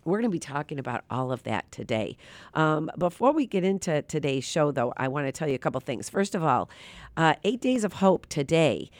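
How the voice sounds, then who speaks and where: A radio host speaks through a nasal, sleepy-sounding voice at a middling pitch, earnest and meandering, with plenty of fillers. The studio sound is close and dry, without room echo. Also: very animated, brisk. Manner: earnest, rambling, with many fillers. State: tired from little sleep. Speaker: radio talk show host.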